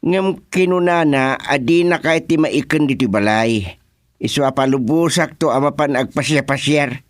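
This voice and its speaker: A man's voice: on the high side, normal speed, no echo, studio quality, lightly acted. A man with an Ilocano accent playing a comic, grumbling village son in a radio drama, whiny and exclamatory. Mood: indignant.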